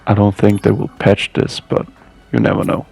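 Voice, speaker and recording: A man with a low, gravelly voice delivers a casual video game walkthrough. He narrates from a loose script and drops in dry, weary asides. Music plays behind him. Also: monotone, moderate pace, darkish tone.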